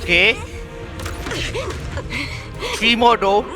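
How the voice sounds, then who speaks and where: A man hosting a game reaction video, giving brief excited reactions and reading story text aloud. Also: high voice, very slow. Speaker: gaming reaction host. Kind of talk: casual game reaction commentary. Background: game music.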